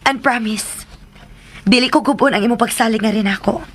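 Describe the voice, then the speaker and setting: A woman's voice: fairly high pitch, moderate pace, fairly bright tone, a little hiss or hum, close to an everyday voice. Woman acting eager young Filipino daughter. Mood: eager, reassuring. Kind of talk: Filipino radio drama acting.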